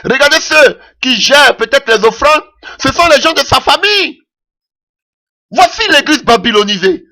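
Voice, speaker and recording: Guy preaching hard, high lively voice, says alléluia a lot, french isnt his first language. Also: normal speed. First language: not French. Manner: impassioned preaching with frequent "Alléluia" interjections. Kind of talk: sermon.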